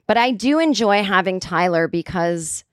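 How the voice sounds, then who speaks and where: A raspy-voiced woman in her forties, a comedian hosting a podcast, telling a story casually with plenty of swearing and acted-out quotes. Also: mid-range voice, normal speed, no echo, studio quality. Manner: profane, animated, acts out quotes.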